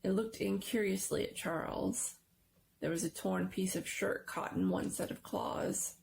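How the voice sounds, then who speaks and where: A woman giving a dramatic, suspenseful narration of a scary story, sometimes hushed and lingering on words. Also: mid-range voice, steady tone, measured pace, vocal fry, slight background noise. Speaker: story reader.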